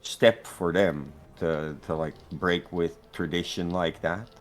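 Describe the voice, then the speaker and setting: A man's voice: sits low, steady tone, slow, faint music behind. A Dutch man in casual chat, native Dutch speaker, who talks hesitantly and repeats words. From Netherlands. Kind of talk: casual group chat while gaming.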